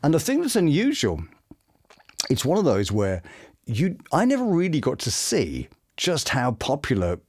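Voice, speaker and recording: A middle-aged man telling anecdotes casually as a guest, chatty and full of quick asides. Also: a little high, huge pitch swings, darkish tone. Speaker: voice actor guest. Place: small home studio room, indoors.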